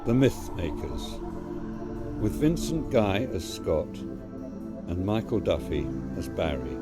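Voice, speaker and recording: A man's voice: deep voice, very animated, takes it slowly. A man reading a scripted credits announcement as the announcer of a radio play. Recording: loud music under voice.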